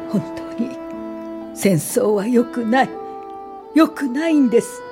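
Radio drama lead: earnest female welfare campaigner, polite careful narration, mid pitch, scored with music. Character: earnest woman welfare activist recounting her own past.

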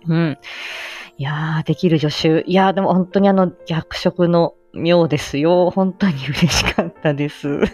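A woman's voice: low-pitched, fairly even intonation, normal speed, a little mellow, soft background music. A woman chatting casually and reflectively on a livestream, self-effacing and hesitant, often trailing off mid-phrase. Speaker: amateur voice drama actor and livestream host.

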